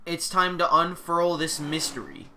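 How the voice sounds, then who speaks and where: Male streamer with a cold, nasal and bright, loose rambling chatter, slight background hiss. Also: on the high side, a little slow. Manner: rambling, loose and excitable, full of gamer slang. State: congested from a cold. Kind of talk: casual live game stream chat. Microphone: desk mic.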